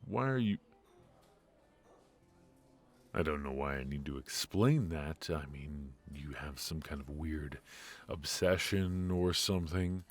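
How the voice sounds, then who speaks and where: A man acting a brooding, ruthless yet remorseful mafia defector in an intimate noir ASMR audio drama, speaking in a halting, confiding way. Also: a bass voice, expressive, faint music behind, full character voice. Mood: teasing, amused. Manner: halting, hesitant, confiding delivery.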